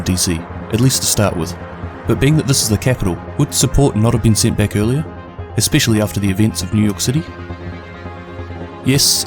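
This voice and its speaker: A man with a New Zealand accent delivering scripted narration as a video host. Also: on the low side. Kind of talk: scripted narration of game lore. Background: prominent background music.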